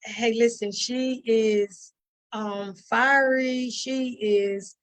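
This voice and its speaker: A mature woman with a fairly high voice chats as a guest in an interview. She tells stories informally, starting haltingly and dropping in bits of quoted speech. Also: fairly even intonation. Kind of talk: casual chat, storytelling.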